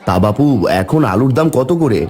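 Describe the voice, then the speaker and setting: A man's voice: medium pitch, animated, moderate pace, dark tone, soft background music, a faint crowd, close to an everyday voice. A man voicing an earnest, humble village man in a cartoon. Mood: cheerful. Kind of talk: Bengali cartoon voice acting.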